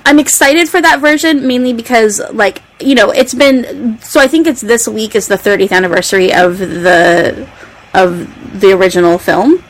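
A woman's voice: fairly high pitch, normal speed, low-quality recording. Agreeable woman hosting a casual podcast. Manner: agreeable, frequent short affirmations. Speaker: podcast host. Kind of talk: casual interview chat.

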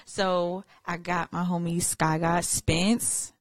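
Low-voiced woman host with an Atlanta accent. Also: flat delivery, slow pace, dry recording, studio quality. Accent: Atlanta, Southern US. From Atlanta area, Georgia, USA. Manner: informal, slangy, familiar. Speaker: show host, interviewer. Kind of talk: casual interview chat.

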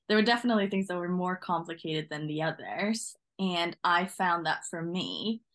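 A woman's voice: lowish voice, fairly bright tone. Young Norwegian woman chatting, laughing often. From Norway. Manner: friendly, laughs often while talking. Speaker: newly qualified driving instructor, guest. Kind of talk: casual interview chat.

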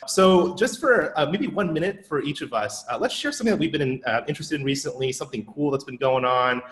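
A man's voice: on the high side, quick pace, slight background noise. Man hosting a tech podcast, echoey room. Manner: relaxed, enthusiastic, with many "you know" fillers. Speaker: podcast host. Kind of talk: casual tech podcast interview. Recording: roomy echo.

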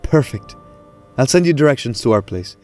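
A man's voice: mid-range voice, animated, moderate pace, darkish tone, soft background music, lightly acted. An actor playing a friendly, reassuring, hospitable man in charge in an audio drama. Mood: amused, friendly.

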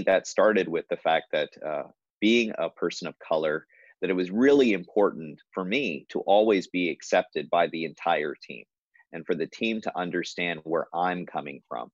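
A man, a hospital leader, speaking in a measured, reflective way with many fillers and restarts in a conversational interview. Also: low voice, no echo, dull audio.

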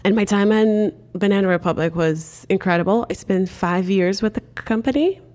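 A woman's voice: mid-range voice, normal speed. Woman telling her story. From Cape Verde. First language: Cape Verdean Creole. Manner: animated storytelling, frequent "like" fillers. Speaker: guest. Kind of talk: casual interview chat.

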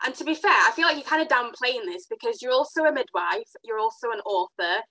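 A quick-talking woman hosting a casual podcast interview. Her voice sits a touch high, and she keeps the talk upbeat with enthusiastic, affirming responses to her guest. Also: animated, bright, forceful tone, rough recording. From Africa. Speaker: podcast host.

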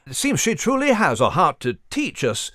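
A man voicing a courteous, inquisitive little gentleman mouse with a British accent and a fussy, proper manner. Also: on the high side, wildly swooping pitch, moderate pace, no echo. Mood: admiring.

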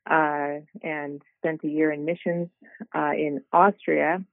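A woman's voice: sits low, slow, creaky, no room sound. A middle-aged woman talks about her path in a loose, easygoing way, full of small fillers. Her voice comes through a thin, tinny phone line, dry and close. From South Dakota, USA. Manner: relaxed, rambling storytelling with many fillers. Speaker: guest, ministry worker. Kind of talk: casual interview over the phone.

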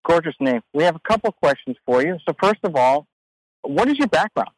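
A man's voice: fairly high pitch, animated, a little fast, no echo, poor audio. A middle-aged man hosting a business radio show, joking and teasing his co-host in playful banter. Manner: teasing, self-mocking.

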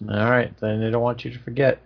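Gravelly, teasing voice in chat. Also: mid-range voice, level tone, a little fast. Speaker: group chat participant. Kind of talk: casual group chat. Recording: dull lo-fi sound.

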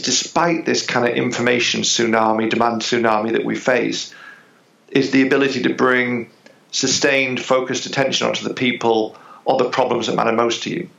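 A man's voice: mid-range voice, vocal fry, a bit bright, echoey room, muffled recording. A man speaking as a business psychologist guest, explaining his ideas thoughtfully with hesitations. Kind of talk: interview answers.

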